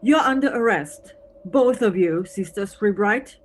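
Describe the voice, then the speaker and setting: A woman's voice: medium pitch, lively intonation, measured pace, slight background noise, close to an everyday voice, low-quality recording. A woman playing an eccentric, playful, officious European policewoman in an audio play, theatrical and teasing, with German phrases thrown in.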